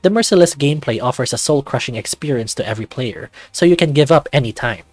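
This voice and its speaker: Male narrator, even second-person storytelling, mid pitch, dry close sound. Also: fast, dry recording, soft background music. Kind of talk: scripted visual novel narration.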